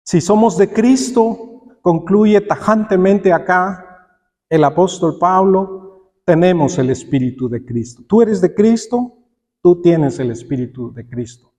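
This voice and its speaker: Male preacher, high voice, sermon delivery, rhetorical questions, acted-out quotes, long hall reverb. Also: lively intonation, a little slow, dark tone. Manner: acts out imagined dialogues and quotes. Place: church hall, preaching aloud to congregation. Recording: long echo of a large hall.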